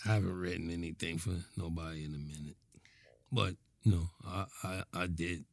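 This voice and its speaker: A laid-back man talks in a low, gravelly voice. He trails off and repeats himself. The sound is dry and close. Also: fairly even intonation, normal speed, no echo. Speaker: rapper guest. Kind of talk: casual interview talk.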